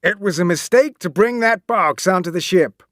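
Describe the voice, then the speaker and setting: Stern ship captain with a high, strained bellow, voiced by a man. Storybook reading. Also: lively intonation, normal speed, fairly bright tone, dry recording, clean studio sound. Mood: explaining. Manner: bellowing commands, then plain explaining. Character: stern male ship captain, shouting orders.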